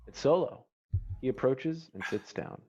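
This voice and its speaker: A man's voice: medium pitch, animated, measured pace, warm tone, breathy edge, lo-fi sound. A man narrating the stage directions of a screenplay at an online table read.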